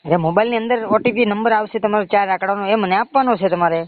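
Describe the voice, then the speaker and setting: Fast, high-voiced man with Gujarati accent. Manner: insistent, pushy, repetitive. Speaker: phone caller claiming to be a bank loan agent. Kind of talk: phone sales conversation. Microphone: phone line. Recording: muffled narrow-band phone call recording.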